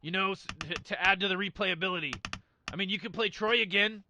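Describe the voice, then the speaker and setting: A man's voice: high-pitched, fairly quick, noisy background, natural voice. A man voicing a cocky, eccentric old male scientist in a fan game playthrough, rambling smugly and brushing off worries. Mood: casual. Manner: rambling, smug, dismissive. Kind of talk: fan impression during a video game playthrough.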